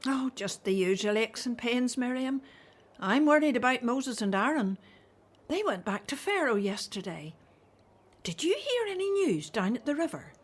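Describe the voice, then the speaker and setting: A woman playing an aged, anxious Hebrew mother in an audio drama, expressive in her delivery. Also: fairly high pitch, normal speed, husky. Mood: worried. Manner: worried, expressive.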